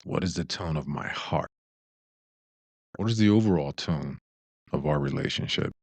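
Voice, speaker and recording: A man with a deep voice, co-hosting a casual advice discussion in a reflective way, often posing rhetorical questions. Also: a little mellow, no echo. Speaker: podcast co-host.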